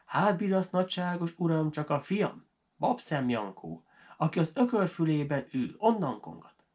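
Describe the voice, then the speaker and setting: A man's voice: a little high, sing-song, darkish tone. A man voicing a humble, deferential poor peasant father in a folk tale, with a folksy way of talking. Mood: humble pride.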